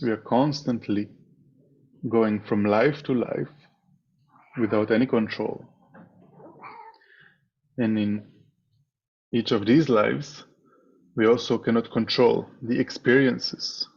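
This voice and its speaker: A man leading a guided meditation as a teacher, speaking in a measured way with pauses between short phrases over a slightly dull video call. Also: mid-range voice, steady tone, a little mellow, husky. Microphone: video call microphone.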